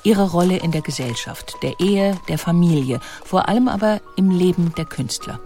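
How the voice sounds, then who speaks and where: A woman reading scripted documentary narration in a measured, articulate way. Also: on the low side, animated, mellow, faint music behind.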